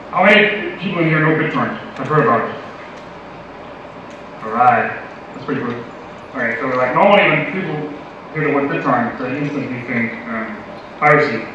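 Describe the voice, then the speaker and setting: A young man from Denmark giving a casual, playful tech conference talk with many fillers. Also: mid-range voice. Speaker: conference speaker. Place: large conference hall. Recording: echoey hall from far, dull lo-fi audio, noisy.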